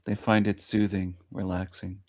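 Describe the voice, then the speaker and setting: A man's voice: low-pitched, flat delivery, normal speed, no room sound. A man chatting casually in an online group call, joking and boasting in easy banter. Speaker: regular in an online group chat. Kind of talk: casual chat.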